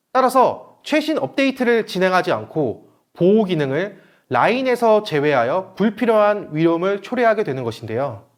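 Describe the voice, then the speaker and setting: A man giving a scripted webinar presentation in a formal, explanatory manner. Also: sits high. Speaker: presenter, company manager.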